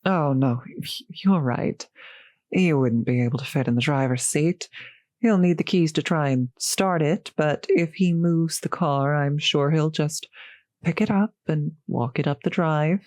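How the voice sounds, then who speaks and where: Woman playing a slow-spoken, genteel old vampire host. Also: low voice, dry recording, studio quality. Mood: courteous, helpful. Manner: polite, softly hesitant, old-fashioned genteel phrasing. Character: courteous centuries-old vampire host, gentle, solicitous, slightly formal. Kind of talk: roleplay audio drama, addressing the listener.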